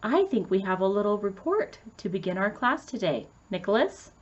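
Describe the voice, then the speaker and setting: Prim, animated schoolteacher lady played by a narrator. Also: medium pitch, normal speed, lo-fi sound. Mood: formal. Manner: formal, proper, correcting. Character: prim, strict but approving female schoolteacher.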